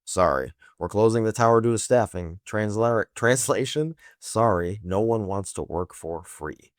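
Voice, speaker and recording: A man's voice: lowish voice, unhurried, no echo, clean studio sound. A man hosting scripted political commentary in a sarcastic, emphatic manner full of rhetorical questions. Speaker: host of a political news commentary show.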